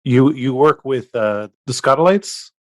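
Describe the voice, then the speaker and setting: A man's voice: medium pitch, unhurried, dry recording. A man co-hosts an interview podcast in a middle voice, asking curious questions about songs. His voice is dry and close, with no room sound. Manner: curious, laughs along. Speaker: podcast co-host.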